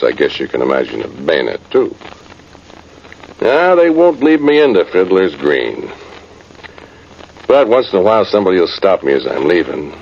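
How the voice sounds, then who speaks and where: A man's voice: low-pitched, gravelly, a little mellow. An actor, a man, playing a folksy, rueful cavalry sergeant rambling through a radio drama monologue. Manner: folksy, ungrammatical, rambling soldier talk. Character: folksy, rueful old-time cavalry sergeant, a ghostly soldier. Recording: muffled, hissy vintage radio recording.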